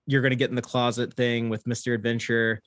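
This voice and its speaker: A man's voice: medium pitch, flat delivery, quick pace, a bit bright, no room sound, studio quality. A man speaking as a podcast guest in a casual fan discussion.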